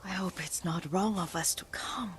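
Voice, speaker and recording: An actress playing a frail, wistful elderly Japanese retainer in a video game, gentle and deferential, with a thin, breathy voice. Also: female voice, on the low side, moderate pace, natural voice. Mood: weakening, tender. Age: older. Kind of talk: dramatic video game acting. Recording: music underneath. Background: game music.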